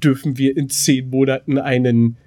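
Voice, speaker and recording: A man's voice: medium pitch, steady tone, mellow. Rambling man, casual podcast host. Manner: rambling, halting, repeats words mid-sentence. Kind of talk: casual chat between co-hosts.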